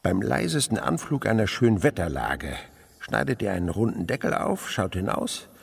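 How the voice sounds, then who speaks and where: Older male naturalist for radio drama: lowish, crackly voice, eager, tender, hushed storyteller's wonder. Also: big pitch swings, normal speed, creaky, slightly rough, heavily acted. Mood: hushed, absorbed. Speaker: actor in a radio play. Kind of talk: German radio play acting.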